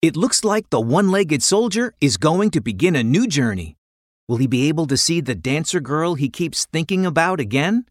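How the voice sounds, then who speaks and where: A woman narrating a scripted children's story in an expressive, dramatic way. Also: low-pitched, normal speed, dry recording, clean studio sound.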